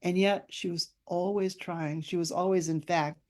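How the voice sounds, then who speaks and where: An older woman, an author being interviewed, speaking in a thoughtful, anecdotal way with measured phrasing. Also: fairly low pitch, steady tone. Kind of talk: reflective conversational interview.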